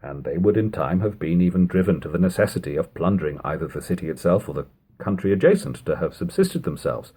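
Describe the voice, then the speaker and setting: A man with a somewhat low-pitched voice narrates a literary audiobook. His delivery is measured and formal, carrying long, winding sentences as a reader of classic prose. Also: very animated, warm tone, breathy edge.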